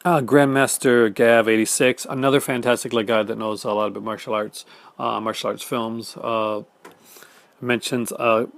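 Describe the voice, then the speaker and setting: Fast-talking Canadian man, gravelly voice. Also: mid-range voice. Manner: fast-talking, many fillers and restarts. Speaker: film collector YouTuber. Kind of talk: casual chat reading viewer comments. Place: home room in front of film shelves.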